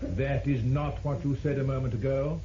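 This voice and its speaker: An actor playing a formal, relentless prosecutor cross-examining a witness in an old-time radio drama. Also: male voice, mid-range voice, steady tone, normal speed, close to an everyday voice. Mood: probing. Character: probing, relentless male prosecutor in a courtroom. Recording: muffled, noisy vintage radio recording.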